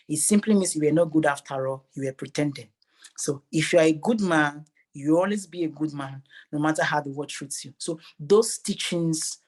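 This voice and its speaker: Earnest Nigerian man, motivational speaker. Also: on the high side. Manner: earnest, emphatic, repeats phrases for effect. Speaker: interview guest, motivational speaker. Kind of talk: motivational interview answer.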